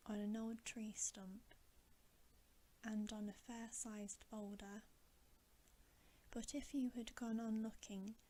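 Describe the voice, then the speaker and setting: A woman reading a bedtime story in a soft, breathy ASMR whisper, gently and with pauses. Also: fairly high pitch. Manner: slow, gentle, unhurried delivery with pauses.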